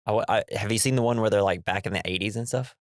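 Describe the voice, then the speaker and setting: A man's voice: lowish voice, racing, dry recording, clean studio sound. A young man co-hosting a casual movie podcast, sounding nasal from a cold, rambling and laughing as he acts out the scares. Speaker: podcast co-host.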